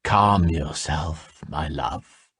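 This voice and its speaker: A man voicing a smooth, menacing young male envoy who speaks low, level and slow. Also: sing-song, dry recording, studio quality, full character voice. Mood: silkily menacing.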